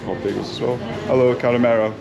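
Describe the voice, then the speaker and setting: A man's voice: medium pitch, steady tone, quick pace, gravelly, over a noisy crowd. A young man chatting casually as he walks around town, thinking aloud with plenty of ums, recorded on an action camera. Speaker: travel vlogger. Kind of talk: casual vlog chat while walking. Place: outdoor town streets and a bakery. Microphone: action camera mic. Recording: noisy outdoor street recording. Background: street traffic and town ambience.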